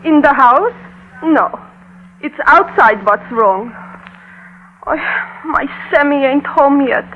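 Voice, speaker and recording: High-voiced, fretting New immigrant mother. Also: expressive, slow pace. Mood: fretful. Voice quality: high-pitched voice. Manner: inverted, foreign-flavoured syntax, plaintive. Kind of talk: old-time radio drama acting. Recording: dull, noisy vintage radio recording.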